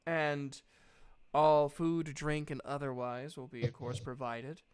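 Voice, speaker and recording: A man plays a brisk, orderly woman who leads a guild in a tabletop fantasy game. The delivery is formal and authoritative, with a smooth, clear tone and measured, slow pacing. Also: a little high, flat delivery. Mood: businesslike.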